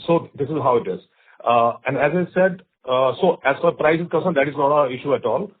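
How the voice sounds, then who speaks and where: A man with an Indian accent and a husky voice, an executive explaining on an earnings call and often checking with short tags. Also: mid-range voice, brisk. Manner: explanatory, checks with right and okay. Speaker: company executive. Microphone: conference call line. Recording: thin phone-line sound.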